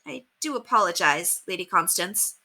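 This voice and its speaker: A woman's voice: lowish voice, expressive, moderate pace, gravelly, pushed and bright, full character voice. A woman voicing a polite, cheerful young governess in a children's storybook, composed and well-spoken. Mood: polite, apologetic. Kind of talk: children's storybook read aloud.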